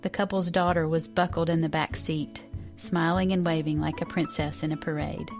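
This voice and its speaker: A woman of about fifty from Western North Carolina reading a personal essay in a measured, reflective way. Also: sits low, fairly even intonation, creaky, over music. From Western North Carolina, USA. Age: around 50.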